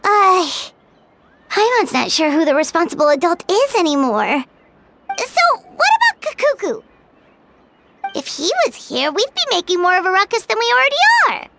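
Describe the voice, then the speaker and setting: A woman voicing a small, excitable, chatty childlike companion in an anime game, squeaky and chirpy. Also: moderate pace, very noisy, heavily acted. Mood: bemused. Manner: chirpy, refers to self by name. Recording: loud music under the voice.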